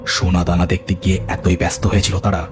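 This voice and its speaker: A man with a deep voice reads a scripted horror story as narrator. Music plays prominently behind his storytelling. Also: flat delivery, fast talker.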